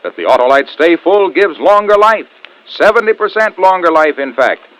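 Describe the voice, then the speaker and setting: A polished male announcer in vintage 1940s radio style. He sells emphatically, with a fairly high voice and very lively intonation. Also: moderate pace, highs cut off. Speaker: radio announcer and sponsor pitchman.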